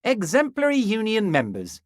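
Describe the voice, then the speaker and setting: A man's voice: high-pitched, huge pitch swings, moderate pace, no echo, clean studio sound. A man playing a smug, scheming, jovially corrupt union boss in a video game, with an unctuous, falsely friendly, theatrical delivery. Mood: smug, confiding. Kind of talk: video game character dialogue.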